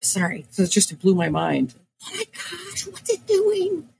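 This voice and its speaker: A woman's voice: a little high, huge pitch swings, a little slow, creaky, darkish tone, slightly rough. A woman co-hosting a podcast, chatting casually and rambling with lots of "like.". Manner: rambling, heavy use of "like". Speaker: podcast co-host. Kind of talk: casual chat.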